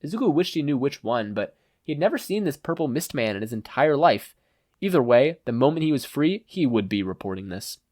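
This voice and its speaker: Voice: mid-range voice, sing-song, fairly quick, a little mellow. A reader giving a scripted narration of a story in the manner of an audiobook. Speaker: podfic reader. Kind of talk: scripted narration of fan fiction, audiobook reading with character voices.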